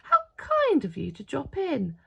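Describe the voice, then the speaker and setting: A hearty, friendly old gentleman of a children's storybook, acted out by a woman. He exclaims with pride, and his pitch swings widely. There is a strong creak in the voice. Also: wildly swooping pitch, a little slow, muffled recording, full character voice. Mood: jolly, welcoming.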